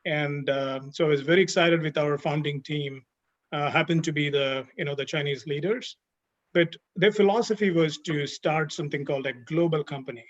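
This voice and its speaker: A middle-aged man speaks on a webinar panel over a video call, his voice sitting a little high for a man. He explains at length in a steady way, leaning on "you know" as he goes. The sound is dull and muffled. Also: moderate pace.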